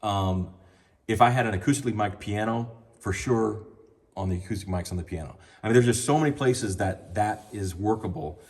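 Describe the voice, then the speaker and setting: A man's voice: fairly low pitch, brisk, a little mellow. A man giving a casual hands-on lesson on mixing sound, talking through what he does as he adjusts a mixing console and speaks into a handheld mic. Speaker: audio trainer. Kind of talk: hands-on sound desk tutorial. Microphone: handheld microphone.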